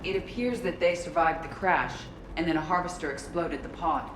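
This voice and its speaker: A woman's voice: low voice, moderate pace, noisy background, close to an everyday voice. A woman playing a cool, analytical squadmate in a video game, with a clinical, matter-of-fact delivery. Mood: clinical, alert. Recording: echoey, loud game score behind.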